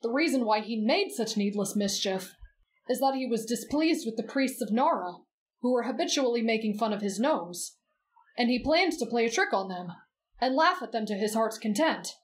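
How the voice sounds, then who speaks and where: A woman voicing a gentle, earnest, patient teenage boy in an anime bedtime-story roleplay. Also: fairly high pitch, lively intonation, normal speed, close to an everyday voice, rough recording. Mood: patient storytelling.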